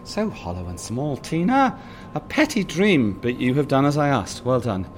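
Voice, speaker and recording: An actor playing a calm, sadistic male killer who taunts his captive in a soft, mocking, coldly polite way. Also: medium pitch, moderate pace, a little hiss or hum, lightly acted. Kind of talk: audio drama acting.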